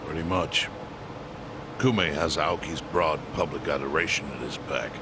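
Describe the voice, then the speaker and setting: Very deep-voiced, world-weary old chairman. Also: measured pace, background noise. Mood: grave, resigned. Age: older. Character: measured, world-weary elderly chairman, pragmatic and dry. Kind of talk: English video game voice acting.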